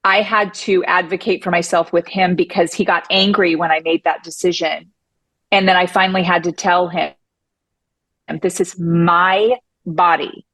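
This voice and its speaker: Woman guest, lowish voice, talks with conviction, dry close sound. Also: normal speed, no room sound. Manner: emphatic, self-assured, animated. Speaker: podcast guest, breast cancer survivor. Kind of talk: conversational interview.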